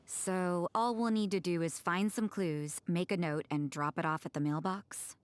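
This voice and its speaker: An actress playing an aloof, dry-witted loner nun, curt and deadpan, in a video game cutscene. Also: female voice, on the low side, normal speed, smooth, lightly acted. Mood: detached.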